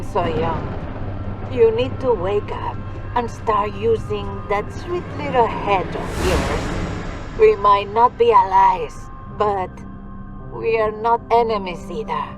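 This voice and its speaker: A woman's voice: medium pitch, animated, slow, over music. A woman playing a taunting, condescending mercenary woman in a video game, with a teasing manner. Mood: coaxing, conspiratorial. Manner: teasing, condescending, peppers speech with endearments. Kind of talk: video game cutscene acting.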